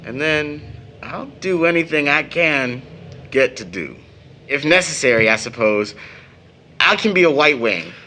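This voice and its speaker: A man's voice: a little high, lively intonation, pushed and bright, slight background noise. An actor playing a young man, a devoted son with a bitter, teasing streak, in a dramatic audio play. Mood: wry, discouraged. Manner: dramatic, emphatic delivery with long pauses. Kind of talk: stage-style audio drama acting.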